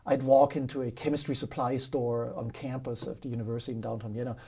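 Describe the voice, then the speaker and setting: A man in his fifties with a German accent, telling stories as a guest in a studio interview, animated and quick to laugh. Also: medium pitch, vocal fry, slightly rough. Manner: animated, laughs often, acts out quotes. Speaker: guest, professor. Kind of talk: casual interview storytelling. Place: studio with dark curtain backdrop.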